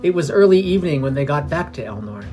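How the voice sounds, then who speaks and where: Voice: medium pitch, expressive, fast talker, mellow. A podcast narrator reading a scripted fantasy story. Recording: music under the voice. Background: background music.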